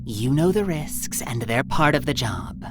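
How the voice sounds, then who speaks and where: A woman's voice: extremely low pitch, animated, measured pace, heavily acted. A woman playing an imperious, mocking female crime boss in a visual novel, condescending and theatrical. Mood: smug. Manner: condescending, theatrical, drawling endearments. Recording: game music behind the voice.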